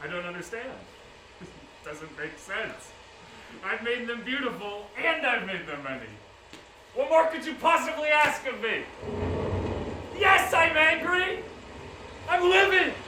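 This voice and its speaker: High-voiced, aggrieved man played by an actor, echoey. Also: big pitch swings, measured pace, long pauses, full character voice. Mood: bewildered, then livid. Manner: theatrical, emphatic, bitter. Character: aggrieved, nameless man desperate to regain his name. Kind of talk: dramatic monologue acting. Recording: echoey room, distant mic, clear noise.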